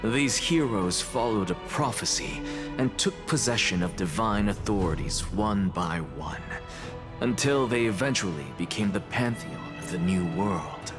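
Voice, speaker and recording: A proud, learned alchemist in a fantasy game. The voice sits fairly low and delivers theatrical lectures with total confidence, with music clearly playing behind it. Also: male voice, lively intonation, measured pace. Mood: triumphant, measured. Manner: lecturing, grandiloquent. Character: confident scholarly male alchemist, theatrical and self-assured. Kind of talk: scripted dramatic game dialogue.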